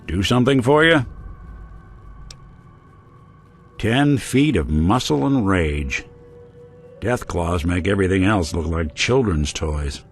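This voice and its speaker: An actor voicing a weary, wry, good-hearted middle-aged robot private detective in a video game, with an American accent and deadpan delivery. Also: male voice, low voice, measured pace, faint music behind. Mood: dry, warm. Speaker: companion character in a video game.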